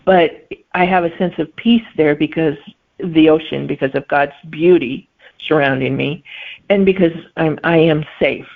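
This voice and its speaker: A middle-aged woman with a gravelly, creaky voice, talking as a guest author. She answers in an unhurried, thoughtful way and often pauses to find her words. The sound is thin and phone-like. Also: low-pitched. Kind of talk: conversational interview answers. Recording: phone-like remote line.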